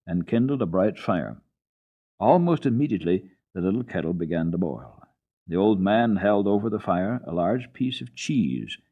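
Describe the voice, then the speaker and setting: A man giving a dramatic, folksy reading of a children's book as a radio storyteller, on a muffled archival recording. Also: low-pitched. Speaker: storyteller, radio program host.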